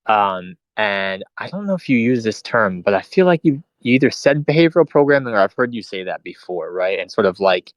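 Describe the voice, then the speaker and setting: Soft-spoken interviewer, lowish voice. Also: male voice, expressive, brisk, hardly any pauses, no echo. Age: late 30s or older. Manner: hesitant, searching phrasing with many fillers. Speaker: host. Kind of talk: casual interview questions. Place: online voice chat.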